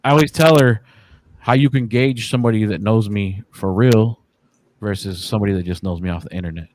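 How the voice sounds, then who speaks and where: Chill slangy guy in his 40s, kinda low voice, dry sound, cusses a lot. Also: brisk, a little mellow, dry recording, poor audio. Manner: laid-back, profane, slangy, many fillers. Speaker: podcast guest. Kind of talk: casual chat.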